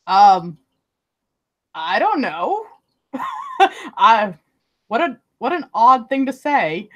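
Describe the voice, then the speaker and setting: A chatty woman whose first language was Spanish talks at length in a casual voice chat, eager to argue and quick to laugh. Her voice sits a little high. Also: wildly swooping pitch, a bit bright, highs cut off. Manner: talkative, argumentative, quick laughs.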